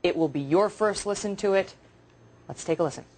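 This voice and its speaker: A woman's voice: mid-range voice, brisk, a little mellow, husky. A woman with an American accent presenting live breaking news and commenting on it emphatically as the anchor.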